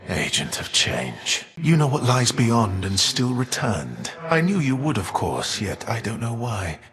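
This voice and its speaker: A man playing a weary, defiant, all-knowing Titan in a video game, speaking in a measured, formal, archaic and foreboding way. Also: mid-range voice, wildly swooping pitch, big-room sound, a crowd in the background. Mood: grave, knowing. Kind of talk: theatrical video game character voice acting.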